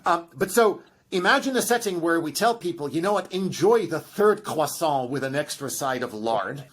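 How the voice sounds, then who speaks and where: Husky-voiced man with a Russian accent; eager professor explaining science, restarts often. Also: a little high, expressive, moderate pace. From Russian by ancestry. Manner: lecturing, enthusiastic, frequent restarts and fillers. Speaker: genetics professor guest. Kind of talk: explanatory interview answers.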